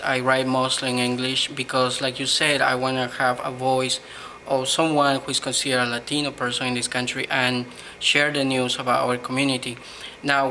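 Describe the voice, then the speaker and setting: A man from Peru with a Peruvian Spanish accent, answering interview questions in earnest, measured English with frequent ums. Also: mid-range voice, pushed and bright, a little hiss or hum, low-quality recording. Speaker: blogger, interview guest.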